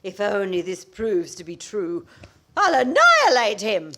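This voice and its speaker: A woman's voice: mid-range voice, huge pitch swings, unhurried, pushed and bright, full character voice. A woman playing a meddling, melodramatic married battle-axe in a comic radio play, indignant and accusatory in manner. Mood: outraged, vengeful. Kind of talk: stage comedy performed as radio play.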